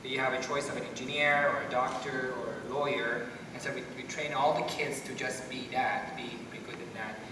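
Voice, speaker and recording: A man's voice: medium pitch, steady tone, a little fast, few pauses. Distant middle-aged man in an echoey hall. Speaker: brain doctor on a panel. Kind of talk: panel discussion, explanatory talk. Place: school theater stage at a panel table. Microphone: distant from the speaker. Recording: echoey hall, distant mic, background noise.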